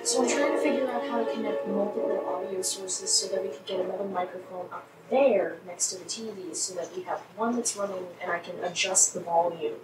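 A woman's voice: medium pitch, fairly quick, few pauses, over loud music. A woman chatting casually while playing a video game, rambling and frank with some mild swearing. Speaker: gamer recording a let's play. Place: indoor room at home beside a TV. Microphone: shared microphone set between two players. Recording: distant mic, echoey room, loud noise.

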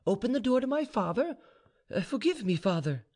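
A man voicing a calm, dutiful young noblewoman, reserved and soft-spoken, with formal phrasing in a storybook reading. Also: very high-pitched, a little fast, pitched above the performer's own voice, heavily acted.

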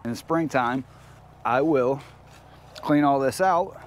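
A man with a mid-pitched voice talks in a loose, folksy, slangy way, like a backyard vlogger. He is outdoors on a handheld camera, with clear background noise around his voice. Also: slow pace. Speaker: homesteader vlogger, host. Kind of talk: casual vlog chat, talking to viewers and animals. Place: outdoors in a backyard chicken run.

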